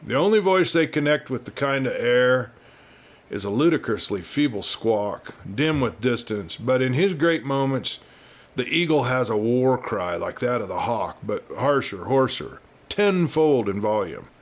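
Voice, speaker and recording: A man telling a story as host and narrator, in a folksy, measured manner. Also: mid-range voice, very animated. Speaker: storyteller host reading a western novel. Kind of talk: folksy scripted audiobook narration.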